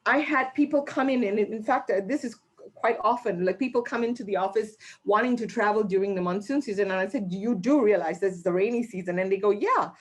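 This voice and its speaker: A woman's voice: medium pitch, brisk. A woman from Malaysia answering travel questions in a webinar, talking chattily with many fillers and restarts. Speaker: tourism guest speaker.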